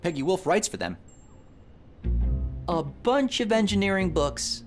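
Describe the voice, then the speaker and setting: A man's voice: high voice, expressive, a little slow, faint music behind. An actor playing an eager, proud young male engineer and rocket inventor in a video game, with a wry, casual manner. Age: young adult. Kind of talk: video game character dialogue.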